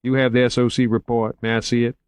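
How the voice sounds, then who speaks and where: A man voicing a cool, refined male agent who speaks in a calm, measured way. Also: medium pitch, smooth, no echo, pitched above the performer's own voice, close to an everyday voice.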